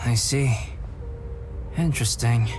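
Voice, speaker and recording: A man playing a calm, analytical young alchemist knight in a video game, speaking formally, deliberately and with detachment. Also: medium pitch, close to an everyday voice. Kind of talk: measured video game cutscene acting. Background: game background music.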